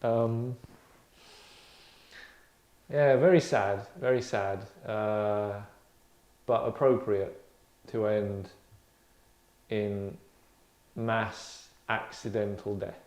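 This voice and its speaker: A man hosting an online table read in casual, wry chat, with a deadpan, mock-formal manner. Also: on the low side, very slow, long pauses. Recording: roomy echo.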